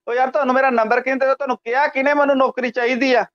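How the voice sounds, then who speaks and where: Indignant high-pitched man on a phone. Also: little pitch movement, fast talker, a bit bright. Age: 40s. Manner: indignant, scolding. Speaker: prank call target. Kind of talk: phone argument. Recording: dull radio-like phone line.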